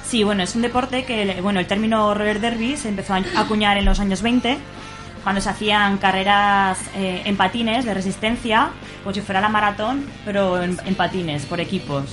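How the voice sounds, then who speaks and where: A woman's voice: medium pitch, fairly even intonation, normal speed, over music. A woman with a Spanish accent from Spain chatting informally as an interview guest, with salty, everyday phrasing. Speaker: interview guest, roller derby player. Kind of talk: casual chat.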